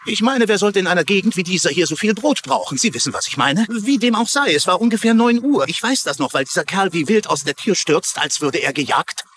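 A man's voice: high-pitched, expressive, brisk, few pauses, a bit bright, a little hiss or hum. A man playing a chatty, wisecracking city eyewitness in a dubbed TV crime drama. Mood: wry. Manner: wry, wisecracking.

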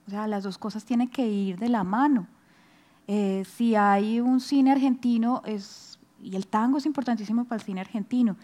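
A Colombian woman speaks as a guest lecturer taking questions. Her voice is fairly high and soft-edged, and she explains things as she thinks them through, on a headset mic. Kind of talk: answering audience questions after a lecture. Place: stage of a large auditorium.

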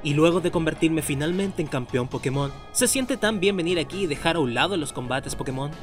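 A man's voice: fairly high pitch, a little fast, lightly acted. A man voicing a jaded, sarcastic retired champion in a Latin American Spanish cartoon parody fandub. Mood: weary, disbelieving. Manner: sarcastic, exasperated. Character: jaded retired male Pokémon champion, sarcastic, easily exasperated. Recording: background music under voice.

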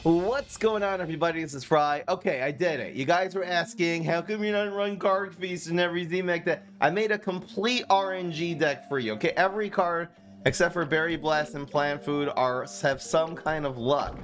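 A man's voice: on the high side, normal speed, a bit bright, soft background music. A man in his thirties casually commenting on a card game he plays on stream, chatting and joking with his viewers from a small room. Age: 30s. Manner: chatty, self-mocking, reads chat aloud.

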